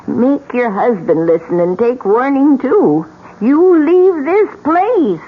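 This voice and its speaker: An actress playing an old widow in a radio drama, grave, insistent and full of dramatic warnings. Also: female voice, high-pitched, sing-song, slightly rough, exaggerated voice. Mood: ominous warning. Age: older. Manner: grave, dramatic, slow pronouncements. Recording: dull, noisy old radio recording.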